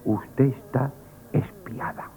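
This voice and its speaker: A man's voice: takes it slowly, dark tone, natural voice. A man from Spain with a Spanish accent playing a timid, hesitant, comically frightened young working-class shop boy in a radio play. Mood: anxious, comic. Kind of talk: 1970s Spanish radio comedy theatre acting. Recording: tinny, band-limited old radio broadcast.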